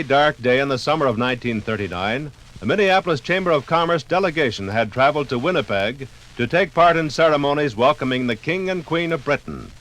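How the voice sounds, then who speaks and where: A man's voice: medium pitch, fairly bright tone, low-quality recording. An American man narrating a scripted 1950s radio documentary program. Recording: 1958 radio program from LP record. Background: faint music.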